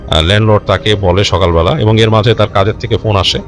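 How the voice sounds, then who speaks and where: A man telling a horror story informally and unscripted, in a halting, conversational way with repeated phrases. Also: fairly low pitch, fairly even intonation. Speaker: storyteller of a ghost story show. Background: background music.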